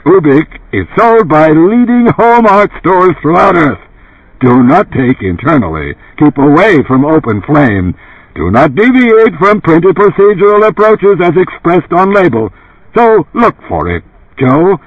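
A man voicing a brash older tycoon turned television pitchman in an audiobook, gravelly and emphatic, with a salesman's delivery. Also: big pitch swings, unhurried, no room sound, highs cut off, heavily acted. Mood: insistent. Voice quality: gravelly, dark, resonant. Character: dead older tycoon as booming, blunt TV pitchman.

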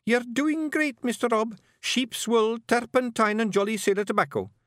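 A man voicing a tiny, earnest young male fairy with a squeaky, eager voice. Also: moderate pace, a bit bright, dry recording, studio quality.

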